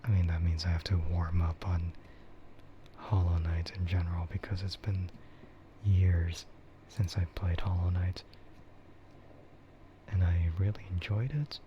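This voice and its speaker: A man streaming ASMR in a soft, breathy whisper, chatting casually and unhurriedly in a meandering way that trails off. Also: low-pitched, little pitch movement, measured pace, pauses often. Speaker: streamer hosting an ASMR livestream. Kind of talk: ASMR, rambling casual chat about games and anime. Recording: muffled lo-fi sound with clear noise.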